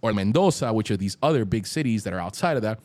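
Animated slangy man chatting. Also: lowish voice, quick pace. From Miami. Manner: animated, emphatic storytelling with slangy asides. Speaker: podcast guest. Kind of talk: casual chat.

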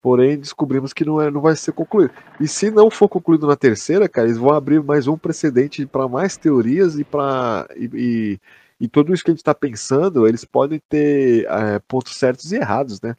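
A young Brazilian man with a medium-pitched, somewhat husky voice co-hosts a casual podcast. He talks informally, with plenty of slang and swearing. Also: fairly quick, darkish tone, dry recording. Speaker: podcast co-host. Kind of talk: casual chat about a sci-fi audio drama.

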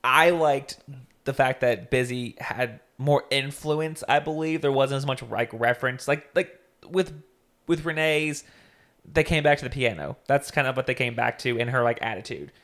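A man's voice: medium pitch, normal speed. A man co-hosting a casual podcast, chatting and teasing his co-host while reviewing a TV comedy. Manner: chatty, teasing, lively intonation. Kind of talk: casual chat reviewing a TV show.

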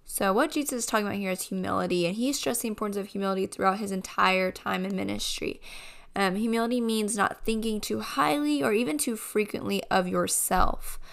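A woman hosting a casual devotional podcast, her voice a bit raspy as she gets over a cold, speaking in an earnest, reflective way. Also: mid-range voice, brisk, a bit bright. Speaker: podcast host.

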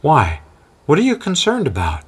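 A man voicing an anxious, excitable middle-aged mother who fires off questions. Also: a little high, breathy edge, pitched above the performer's own voice, exaggerated voice. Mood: earnest. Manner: frantic, rapid-fire questions.

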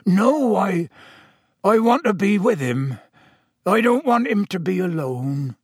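A big, husky storybook gamekeeper, performed by a male narrator, tender-hearted and easily overcome. His plain speech rises high and swings with feeling. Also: big pitch swings, slow, no room sound, studio quality, exaggerated voice. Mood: pleading. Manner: emotional, plain-spoken.

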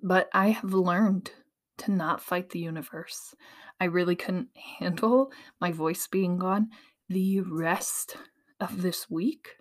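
Young adult woman, podcast host, hoarse and recovering, chatty and self-teasing. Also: fairly low pitch, slow. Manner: chatty and self-teasing, addressing listeners directly. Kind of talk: casual motivational podcast chat.

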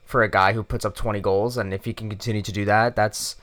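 A man's voice: lowish voice, flat delivery, quick pace, fairly bright tone. A man co-hosting a casual sports podcast, agreeable, repeating himself and leaning on fillers. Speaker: podcast co-host.

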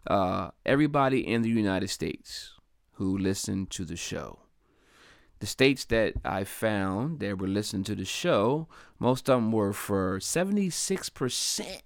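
A man from Delaware hosting his own podcast, talking in a casual, upbeat, chatty way and giving slangy shout-outs to listeners. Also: fairly low pitch, clear, resonant tone, dry recording, clean studio sound. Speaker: podcast host. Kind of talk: casual solo podcast monologue.

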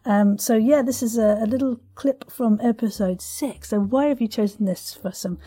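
A woman's voice: on the high side, moderate pace, dark tone, breathy edge. A woman interviewing as a podcast host, conversational and effusive with florid phrasing.

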